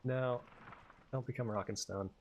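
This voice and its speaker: A man's voice: medium pitch, fairly even intonation, a little slow, darkish tone, a little hiss or hum, poor audio. A man casually commentating his gameplay, cursing and rambling with self-mocking jokes. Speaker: let's player.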